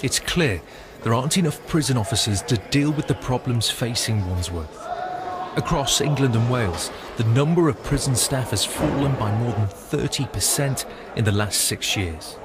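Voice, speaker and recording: A man narrating a documentary in a measured, matter-of-fact way. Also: mid-range voice, expressive, noisy background. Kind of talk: scripted narration.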